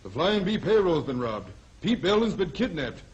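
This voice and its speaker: A man's voice: high voice, big pitch swings, moderate pace, muffled recording. An actor playing a commanding sheriff rallying his posse in an old-time radio western, on a noisy old recording. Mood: urgent.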